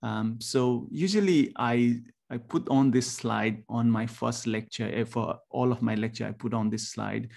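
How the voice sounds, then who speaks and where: Halting gravelly man giving a webinar. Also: mid-range voice, a little mellow. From Nepal. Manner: halting, many ums and restarts. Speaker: university lecturer. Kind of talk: webinar presentation. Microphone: video call.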